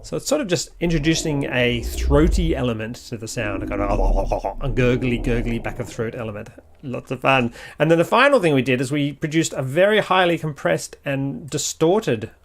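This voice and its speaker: A man's voice: mid-range voice, expressive, normal speed. Man giving a casual audio tutorial, faint noise. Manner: thinks aloud while demonstrating. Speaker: sound designer giving a tutorial. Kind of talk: casual software walkthrough.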